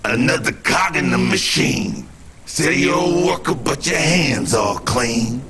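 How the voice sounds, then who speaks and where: A man's voice: medium pitch, lively intonation, slow, over music. A man playing a defiant anti-establishment street singer in a game, delivering rhymed protest in a sing-song, declamatory way. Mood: accusing, defiant. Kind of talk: sung, rhyming protest speech in a game.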